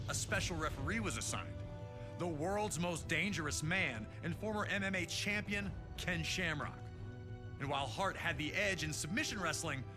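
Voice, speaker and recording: A man narrating wrestling history in a dramatic, hyped announcer style, reading from a script. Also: sits high. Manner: emphatic, hyped announcer delivery. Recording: music bed under the voice. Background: music.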